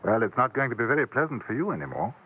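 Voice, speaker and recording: An actor playing a courteous, coolly dangerous English gentleman adventurer in a 1950s radio western, speaking formally with a British accent on an old broadcast recording. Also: medium pitch, very animated, racing, husky, narrow, tinny audio. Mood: gentle, probing. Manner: formal, polite, precise. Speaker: lead character and narrator.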